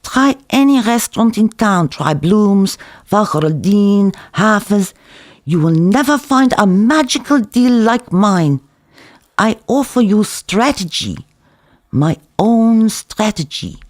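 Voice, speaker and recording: A man's voice: high voice, lively intonation, takes it slowly, dry recording, clean studio sound, voice pushed up. A man voicing a world-weary, hectoring Israeli kebab-shop worker who lectures and cajoles emphatically. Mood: boastful, cajoling.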